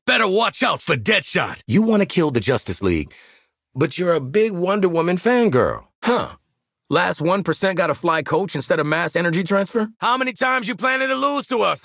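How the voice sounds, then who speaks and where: A man's voice: on the high side, gravelly, a bit bright, breathy edge, dry recording, studio quality, heavily acted. An actor playing a cocky, streetwise mercenary marksman with an American accent, brash and slangy, barking video game combat lines. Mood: cocky, taunting. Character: cocky, streetwise male mercenary marksman, gruff squad leader.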